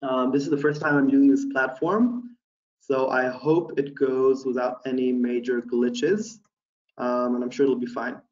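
A man presents an online webinar, pausing often with ums and uhs as he thinks aloud. His voice sits at a middling pitch and is picked up from a distance by a laptop webcam mic. The audio is dull and the small room gives it a noticeable echo. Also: little pitch movement. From USA (Houston, Texas). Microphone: laptop webcam mic, video call.

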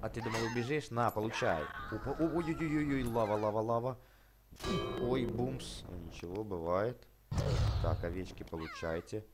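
A man giving excited, chatty game commentary for children, exclaiming, repeating words and addressing his viewers. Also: on the low side, moderate pace, noisy background. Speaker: gaming channel host.

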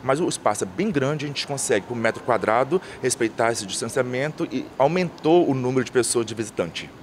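Event coordinator, thirties man, mid-pitched, outdoor interview with faint traffic behind. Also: lively intonation, fairly quick. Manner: fluent, lists items, frequent restarts. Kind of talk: on-camera interview. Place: outdoors by a painted mural wall. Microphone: handheld reporter mic.

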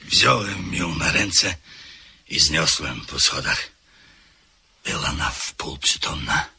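Radio drama: a slow, brooding older man with a deep, gravelly voice who drops to whispers. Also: lively intonation, bright, forceful tone, heavily acted. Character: older man Apolinary, brooding narrator of his own tale.

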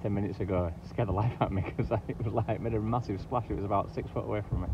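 Rambling man vlogging outdoors, lowish voice, dull camera sound with wind and water. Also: steady tone, racing. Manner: chatty, rambling, frequent "you know". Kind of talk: casual outdoor vlog chat. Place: outdoors, kayak at sea then beach. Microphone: action camera.

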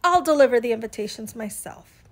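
A woman's voice: on the high side, lively intonation, fairly quick, slight background noise, lightly acted. A woman voicing a hardworking female storybook iguana, emphatic and insistent with her lazy friends. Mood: resolute.

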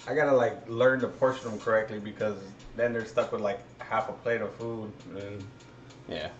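A man in his thirties chatting casually as he hosts a home cooking show, informal and halting as he thinks aloud. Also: on the low side, fairly even intonation, lo-fi sound. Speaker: host of a home cooking livestream. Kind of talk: casual chat while cooking. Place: home kitchen, indoors. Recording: echoey kitchen, noisy with sizzling pan.

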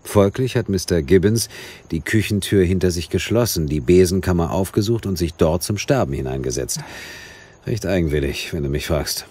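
A stubborn, sardonic detective in an audio drama. The voice is very deep and husky, swinging widely in pitch as he argues his point with dry insistence. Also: expressive, normal speed, warm tone. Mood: dry, insistent. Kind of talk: German audio drama acting.

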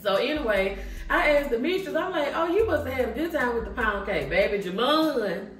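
A woman's voice: sits high, animated, a little fast. A middle-aged woman chatting casually in a folksy, colloquial way, as host of a family vlog. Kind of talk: casual kitchen vlog chat. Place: home kitchen. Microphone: camera on tripod at a distance. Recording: roomy echo, distant mic, background music.

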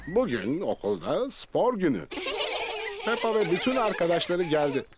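A man acting as the lively narrator of a children's cartoon, telling the story from a script. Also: sits high, moderate pace. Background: music.

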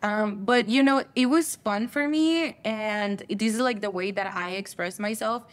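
A woman's voice: mid-range voice, a little slow, clear, resonant tone. A young woman from El Salvador, a guest on a studio talk show, chatting in an upbeat way with lots of "like" fillers. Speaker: guest, chemical engineer and content creator. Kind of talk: casual interview chat. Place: TV studio set, seated on couch.

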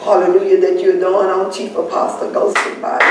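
A woman leading a fervent spoken prayer, saying hallelujah between phrases and sometimes rising to shouts. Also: mid-range voice, monotone, normal speed, very noisy. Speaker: prayer leader. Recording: very echoey room, distant mic, faint ambience.

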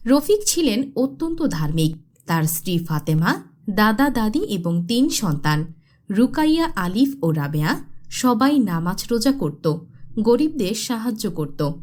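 A woman's voice: medium pitch, a little mellow. A woman reading scripted story narration for a children's cartoon.

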